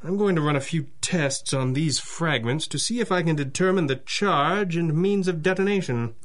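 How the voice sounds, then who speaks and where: An actor playing a dry, mysterious masked crimefighter boss, speaking in measured deadpan in a 1930s-style radio adventure. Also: male voice, on the high side, close to an everyday voice. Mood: analytical.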